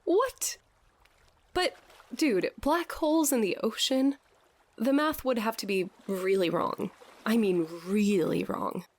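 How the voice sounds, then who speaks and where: A woman's voice: on the high side, takes it slowly. An actress playing a sceptical, wisecracking young woman in a scripted audio drama, with an incredulous, slangy, joking way of talking.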